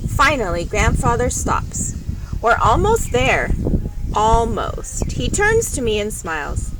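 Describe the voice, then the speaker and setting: A woman reading a children's picture book aloud in an expressive, unhurried storytelling manner, with dramatic pauses. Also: fairly high pitch. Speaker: storybook reader. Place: at home, with construction noise. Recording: home recording with loud background noise. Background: construction noise, animal sounds.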